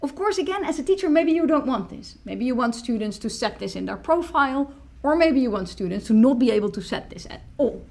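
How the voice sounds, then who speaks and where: A woman in her thirties, a native Dutch speaker, explaining a software tool step by step in a screen-recorded tutorial from a small room. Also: high voice, animated, a little fast. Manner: explanatory, walks through clicks step by step. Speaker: teacher tool demonstrator.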